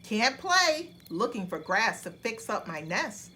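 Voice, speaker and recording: A woman's voice: on the high side, sing-song, slow pace, pushed and bright, slight background noise, heavily acted. Storybook owl, male: busy, clipped, repeats himself, swooping intonation; woman performer. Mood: busy, brusque. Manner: clipped, repetitive phrases. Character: busy, brusque male owl fixing his nest. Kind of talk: children's bedtime storybook voice.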